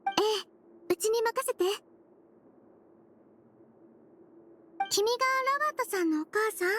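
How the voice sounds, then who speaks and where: A woman voicing a tiny, kindly girlish nurse creature in a video game, gentle, earnest and composed. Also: very high-pitched, lively intonation, a little fast, slight background noise. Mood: caring concern. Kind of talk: Japanese game voice acting.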